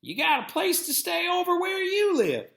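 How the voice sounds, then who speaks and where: Man putting on a high-pitched, folksy old granny. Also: wildly swooping pitch, normal speed, creaky, a bit bright, echoey room, full character voice. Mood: firm, weary. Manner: folksy, blunt. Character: plain-spoken, worn-out old country grandmother, firm but kindly.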